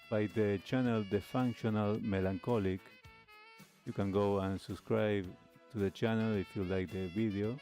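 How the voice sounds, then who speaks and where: A man with a low, dark and mellow voice speaks as a talk show host. He explains things in a measured commentary style, with music playing behind him. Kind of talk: reaction commentary.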